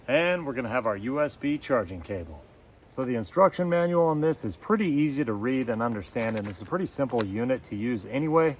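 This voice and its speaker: A man's voice: mid-range voice, a little hiss or hum. A man giving a casual product review to camera as host, with a folksy, slangy way of talking and playful catchphrases.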